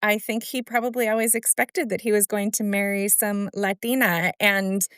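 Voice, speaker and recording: A woman's voice: mid-range voice, fairly even intonation, normal speed, fairly bright tone, clear, resonant tone, no room sound, clean studio sound. A woman hosting a podcast interview, affirming her guest and reflecting on what she says. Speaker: podcast host. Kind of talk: conversational interview.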